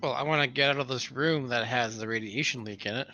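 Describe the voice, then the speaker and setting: A man's voice: mid-range voice, fast, pushed and bright, lo-fi sound, soft background music. A man playing a tabletop roleplaying game, improvising his character's talk in a casual way.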